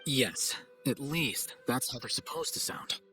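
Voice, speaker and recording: An aloof young scholar from a video game, mid-pitched and composed. Each line comes out dry and condescending, with soft music underneath. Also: male voice, lively intonation, a little slow. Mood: matter-of-fact. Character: cool, arrogant young male scholar, dry and sardonic.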